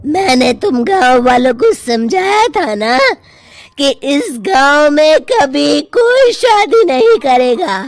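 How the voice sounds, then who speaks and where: A woman's voice: sits high, big pitch swings, takes it slowly, dry recording, heavily acted. A woman voicing a menacing witch ghost in a cartoon horror story, threatening and taunting. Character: menacing female witch ghost who forbids village weddings.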